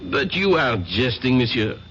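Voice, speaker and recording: Excitable French inspector, very animated, theatrical halting English, muffled old radio recording. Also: male voice, mid-range voice, slight background noise. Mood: incredulous, dismissive. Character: dismissive, excitable French male police inspector. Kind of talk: old-time radio drama acting.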